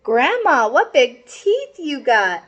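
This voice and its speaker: A woman's voice: sits high, big pitch swings, slow, fairly bright tone, muffled recording, exaggerated voice. A woman voicing a plucky, bold little cowgirl with a feisty, folksy way of talking in a storybook. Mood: bright, bold.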